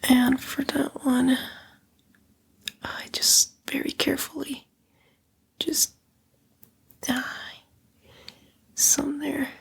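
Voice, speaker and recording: A woman playing a coaxing, condescending female demon in a breathy, whispered ASMR roleplay. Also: high voice, level tone, extremely slow, long pauses, exaggerated voice. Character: female demon, a fallen angel, coaxing and vain tempter.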